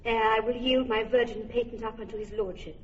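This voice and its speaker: A defiant young noblewoman played by a woman pleads earnestly in a slightly high voice. She sounds distant, with long reverb and a dull old radio tone. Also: steady tone, a little fast, natural voice. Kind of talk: old radio verse drama.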